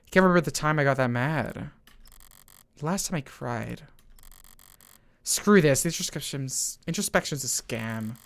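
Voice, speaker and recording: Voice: mid-range voice, lightly acted. An actor playing a jaded, sardonic adult in a gritty detective story, speaking in a blunt, sarcastic, conversational way.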